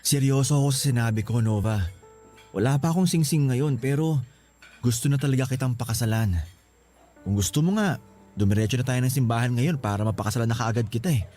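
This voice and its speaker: An actor playing an earnest, devoted and excitable young groom in a radio drama, with a Filipino accent. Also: male voice, medium pitch, brisk, faint music behind, close to an everyday voice. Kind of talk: radio drama acting in Tagalog.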